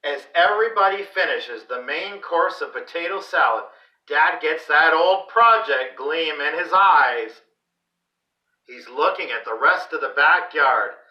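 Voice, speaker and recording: A man reading a children's story aloud in an expressive, deliberate, dramatic way. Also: on the high side, lo-fi sound. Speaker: read-aloud host for a school class. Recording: roomy echo, distant microphone.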